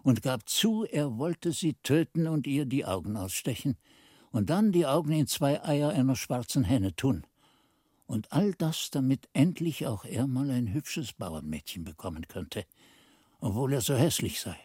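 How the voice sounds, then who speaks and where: A man's voice: mid-range voice, sing-song, measured pace, mellow, husky, no echo, clean studio sound. A man playing an earnest monastery narrator in a radio drama, recounting events with careful, storytelling delivery.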